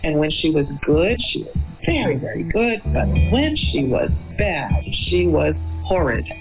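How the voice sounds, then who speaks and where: An older woman reminiscing in a deliberate, emphatic way. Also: low-pitched, very animated. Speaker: mother being interviewed. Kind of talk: reminiscing in conversation. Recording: dull lo-fi sound, music behind.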